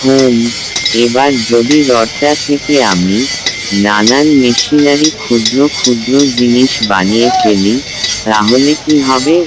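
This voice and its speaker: A man playing a patient village blacksmith father who teaches in a measured way in a cartoon moral story. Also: medium pitch, heavy background noise, natural voice. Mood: patient, instructive. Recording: music laid loudly under the voice.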